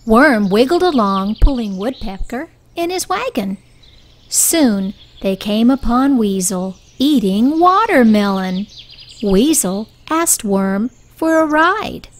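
A woman reading a picture book aloud for young children in a deliberate, expressive storytelling style. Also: a little high.

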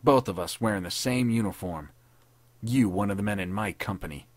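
A man playing a tough, vengeful army captain who speaks in gruff, threatening tones. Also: fairly low pitch, brisk, no echo, close to an everyday voice. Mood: menacing.

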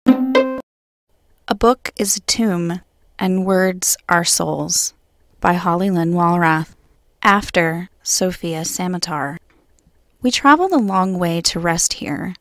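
A woman poet reading her own poems in a measured, solemn way. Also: on the low side, a bit bright, no echo. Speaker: guest poet. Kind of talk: poetry reading.

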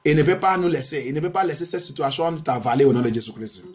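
A man's voice: fairly high pitch, fast talker. A male pastor preaching and praying in two languages, declamatory, insistent and repetitive. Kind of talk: bilingual sermon and fervent prayer.

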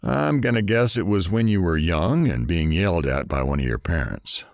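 A man's voice: low-pitched, big pitch swings, moderate pace, creaky, no room sound, heavily acted. A man playing a weary, self-mocking morning radio host in an audio drama, with a dry, sardonic delivery. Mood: curious. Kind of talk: scripted audio drama broadcast.

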